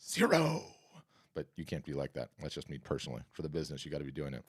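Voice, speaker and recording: A man hosting a live art business workshop and answering questions, talking in an energetic, conversational, salesy way with a scratchy, hoarse voice. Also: a bass voice, quick pace. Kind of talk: live webinar talk and Q&A.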